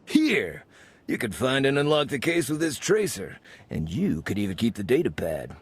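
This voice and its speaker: An actor playing a vain, bumbling scoundrel gang leader in a video game, pompous and theatrical with dramatic pauses. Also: male voice, mid-range voice, big pitch swings, slightly rough. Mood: relieved, eager. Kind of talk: video game character acting.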